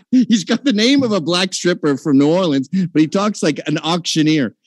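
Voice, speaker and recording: Man, heated debate, combative, mocking, swings his pitch a lot, dry close sound. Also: animated, normal speed, dry recording.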